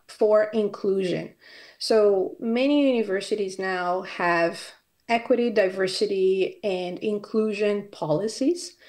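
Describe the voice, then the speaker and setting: A woman's voice: mid-range voice, darkish tone. A woman from Brazil giving a conference presentation as a university academic, speaking with frequent fillers and restarts. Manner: hesitant, many fillers and restarts.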